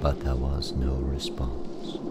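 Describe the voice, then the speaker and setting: Male storyteller, very deep register, unhurried dramatic narration, suspenseful, loud music bed. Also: little pitch movement. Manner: measured, suspenseful.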